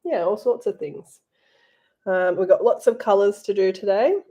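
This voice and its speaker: A woman's voice: mid-range voice, moderate pace, dark tone, lo-fi sound. A woman with an Australian accent and a sore throat, chatting casually to viewers while she crafts on a livestream. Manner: chatty, addresses viewers directly. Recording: faint background music.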